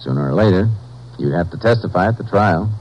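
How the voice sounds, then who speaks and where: Low, brisk, steady lawman. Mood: firm. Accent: American. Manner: terse, steady, matter-of-fact. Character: calm, firm male Texas Ranger investigator. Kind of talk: 1950s radio drama acting and narration. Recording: muffled old radio recording, faint music.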